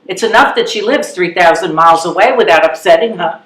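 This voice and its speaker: A woman's voice: lowish voice, brisk, fairly bright tone, close to an everyday voice. A woman playing a blunt, wry and determined elderly widow in a readers theatre comedy, full of pointed questions. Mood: earnest. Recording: echoey live room recording.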